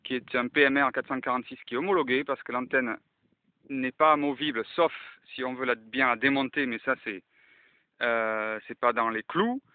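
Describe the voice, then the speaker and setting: A man's voice: mid-range voice, moderate pace, no room sound. A man casually presenting a radio demonstration as an enthusiast, mixing explanations to viewers with radio call-outs. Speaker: amateur radio enthusiast and video host. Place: outdoors in open countryside. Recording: thin, phone-like band-limited sound.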